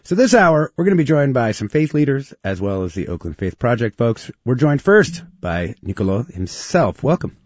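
A man's voice: medium pitch, big pitch swings, moderate pace, few pauses, darkish tone, no echo, highs cut off. A man with an American accent hosting a radio talk show, moving between scripted introductions and interview questions.

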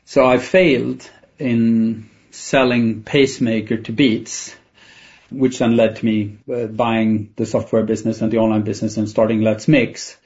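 This is a Swedish man with a Swedish accent and a raspy, fry-laden voice that stays fairly flat. He speaks as a non-native speaker, answering an interviewer in a reflective, candid and conversational style. The sound is slightly dull. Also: fairly low pitch, a little slow. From Sweden. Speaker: tech entrepreneur interviewed as a guest.

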